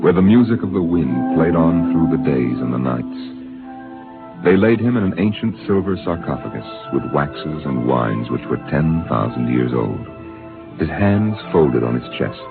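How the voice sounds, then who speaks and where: A man narrating a radio drama in a measured, deliberate storytelling manner. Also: very deep voice. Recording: tinny 1950s radio transcription with music.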